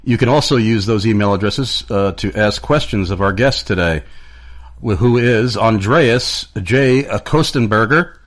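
A man hosting a radio interview show, talking in a plain, measured way with frequent fillers. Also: fairly low pitch, animated.

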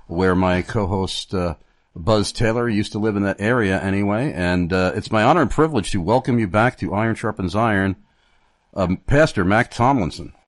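A man hosting a radio interview, speaking formally and haltingly with many fillers. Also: fairly low pitch, no room sound. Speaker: radio show host.

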